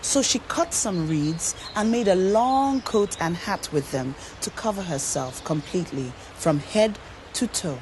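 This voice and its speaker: A woman with a Nigerian accent narrating a scripted folktale in an expressive, dramatic storyteller's manner. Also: fairly low pitch, unhurried, a little hiss or hum. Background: music.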